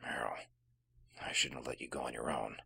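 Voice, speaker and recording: An actor playing a gruff, guilt-ridden, hot-tempered veteran soldier in a radio drama, with a gravelly, intense voice. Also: male voice, extremely low pitch, steady tone, brisk, noisy background, heavily acted. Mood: remorseful.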